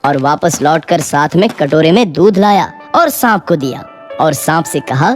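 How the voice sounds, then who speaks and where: A woman's voice: low voice, animated, a little slow, a little mellow. A woman narrating a scripted moral story for children as a storyteller. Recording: music behind the voice.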